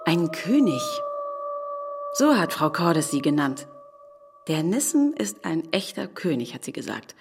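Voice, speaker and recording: A woman's voice: low-pitched, sing-song, a little slow, pauses often, soft background music, exaggerated voice. A woman playing a sardonic bank branch manager in naturalistic German audio drama dialogue, bantering in an ironic, slightly testy way. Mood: teasing. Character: sardonic, joking married female bank branch manager, stranded.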